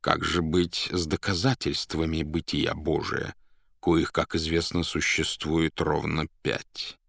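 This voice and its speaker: A man voicing a mysterious foreign gentleman, polite and slyly menacing, who draws out and savours his words. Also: rumbling low, little pitch movement, slow, creaky, dry recording, clean studio sound, full character voice. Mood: polite puzzlement.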